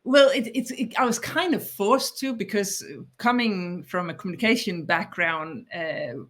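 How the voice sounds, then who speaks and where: A woman's voice: medium pitch, measured pace, fairly bright tone. A woman in her forties from Denmark with a Danish accent, explaining her ideas as a podcast guest, thoughtful, often restarting and repeating words. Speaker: guest author. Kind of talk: podcast interview.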